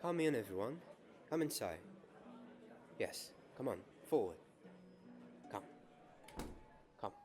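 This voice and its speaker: A young man playing a gentle wizard professor in an ASMR roleplay, soft-spoken and often whispering, measured and encouraging. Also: medium pitch, big pitch swings, over music. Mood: calm, encouraging. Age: young adult. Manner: measured, encouraging teacher, repeating words softly. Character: gentle wizard professor teaching a magic class.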